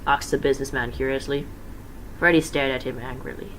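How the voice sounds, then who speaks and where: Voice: medium pitch, steady tone, brisk, fairly bright tone. An amateur reader giving a casual dramatic reading, informal and stumbling, with self-correcting asides. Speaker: amateur fan fiction reader. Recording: noisy amateur home recording.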